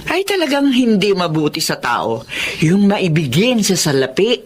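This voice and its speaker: A caring, preachy Filipino mother in a melodrama. Her voice is mid-pitched and rises and falls a lot over faint noise. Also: sing-song, moderate pace. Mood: moralizing. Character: moralizing, caring mother of a grown daughter.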